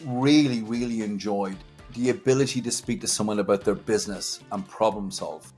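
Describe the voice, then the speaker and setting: A man's voice: on the low side, moderate pace, darkish tone, soft background music. A man in his fifties with a Dublin Irish accent, chatting as an interview guest and repeating words for emphasis. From Dublin, Ireland. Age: 50s. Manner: chatty, emphatic word repetition. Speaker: guest, technology entrepreneur. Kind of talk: conversational interview, recounting own career.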